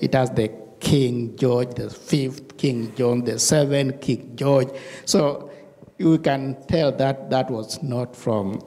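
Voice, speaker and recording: A middle-aged man from Tanzania with an East African accent, recalling his life haltingly into a handheld microphone in a reverberant church. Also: mid-range voice, vocal fry, mellow. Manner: halting, pausing often. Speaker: church member being interviewed. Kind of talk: personal recollection in an interview. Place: indoor church sanctuary.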